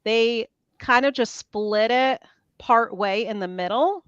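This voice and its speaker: A woman guest in a casual chat, telling a story in an animated way and quoting her own thoughts. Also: fairly high pitch, slow, clean tone, dry recording, muffled recording.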